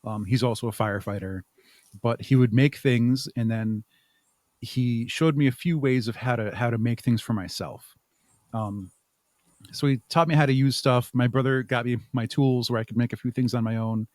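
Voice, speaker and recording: A man with a mid-pitched voice chats as an interview guest. He answers in a thoughtful, wandering way and plays himself down. The sound is dry and close, with little room in it. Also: moderate pace, darkish tone, no echo. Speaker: podcast guest, audio drama creator. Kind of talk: casual interview conversation.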